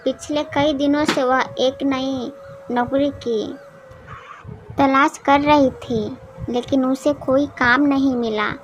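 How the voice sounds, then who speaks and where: Female narrator for a moral story, high register, unhurried pace, noisy recording. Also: steady tone, highs cut off. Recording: clearly noisy background.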